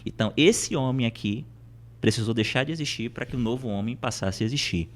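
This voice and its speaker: A man's voice: mid-range voice, fairly quick. A Brazilian man speaking as a podcast guest, explaining things in a conversational, motivational way with rhetorical questions and emphatic repetition.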